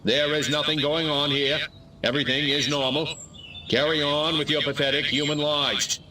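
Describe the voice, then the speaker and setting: An actor voicing a stiff, haughty impostor posing as a human official in a video game, making stilted formal announcements. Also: male voice, a little high, little pitch movement, normal speed, pushed and bright. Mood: stilted, dismissive. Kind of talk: video game cutscene voice acting. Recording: distant, clearly noisy outdoor sound.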